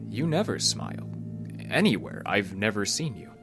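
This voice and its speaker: An actor playing a calm, probing male psychologist in an audio drama, with a measured, patient, clinical way of questioning. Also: fairly low pitch. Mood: gently firm. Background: music.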